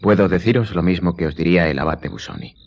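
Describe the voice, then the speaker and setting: Radio play lead: commanding, enigmatic count, low solemn voice, measured delivery, slightly muffled sound. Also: male voice. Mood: stern, judging. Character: grave, commanding count, calm and enigmatic nobleman. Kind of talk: radio drama acting. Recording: dull lo-fi sound, faint music behind.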